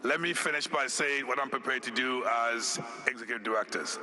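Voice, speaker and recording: A man from Senegal, an executive director, giving a formal conference speech in a deliberate, measured way, listing his points one by one. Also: medium pitch, background noise. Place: conference hall.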